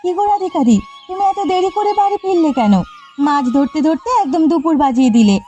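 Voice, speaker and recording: A woman's voice: very high voice, brisk, mellow, voice pushed up. A woman voicing a pushy, money-minded younger sister who sells fish, wheedling and complaining in a cartoon. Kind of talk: Bengali cartoon dialogue. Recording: slightly muffled audio with background music. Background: background music.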